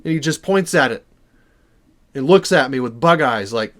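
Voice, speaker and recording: A man telling a personal story in a casual, animated way, acting out bits of dialogue as he goes. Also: medium pitch, moderate pace. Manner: acts out dialogue within the story.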